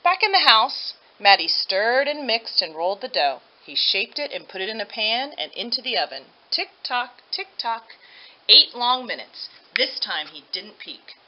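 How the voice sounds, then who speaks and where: A woman reading a children's story aloud with expressive narration. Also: on the high side, a little slow. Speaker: storybook reader. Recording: dull lo-fi audio.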